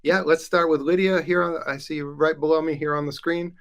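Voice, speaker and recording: A man's voice: on the high side, steady tone, brisk. A man hosting a live company webcast in an upbeat, enthusiastic presenting manner. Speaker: host.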